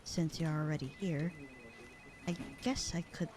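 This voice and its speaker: A woman playing a bored, sarcastic, slightly flirty secretary in a casual roleplay audio drama, with a deadpan, slangy delivery. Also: low voice, unhurried. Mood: reluctantly helpful. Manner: deadpan, slangy, unbothered drawl.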